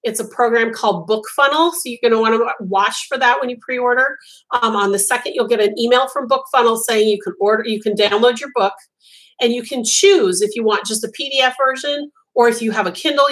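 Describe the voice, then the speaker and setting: A woman's voice: on the high side, fairly bright tone, rough recording. A woman whose first language was Italian, hosting a casual live chat as a crochet designer, rambling with frequent ums and restarts.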